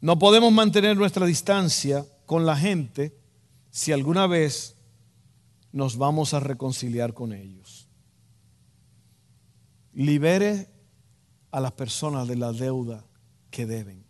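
A man's voice: fairly high pitch, lively intonation, slow, pauses often. Dominican man preaching in a reverberant hall. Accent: Dominican Spanish. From Dominican Republic. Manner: emphatic, repeats key phrases, direct address. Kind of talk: sermon to a congregation.

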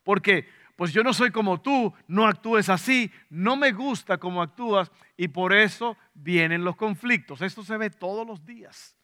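A man's voice: high voice, moderate pace, hardly any pauses, bright, forceful tone. A man with a Dominican Spanish accent, preaching a sermon to a congregation, repeating key points and putting questions to them. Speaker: pastor.

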